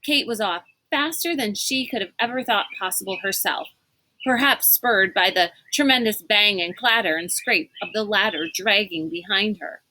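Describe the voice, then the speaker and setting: A woman reading a storybook aloud as an expressive narrator. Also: mid-range voice, a little hiss or hum, rough recording.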